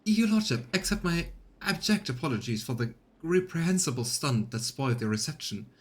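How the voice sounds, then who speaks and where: Man putting on a high-pitched, fawning noblewoman governor. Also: lively intonation, moderate pace, lightly acted. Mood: pleading, frightened. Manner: formal, obsequious, theatrical. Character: anxious, fawning, haughty noblewoman planetary governor.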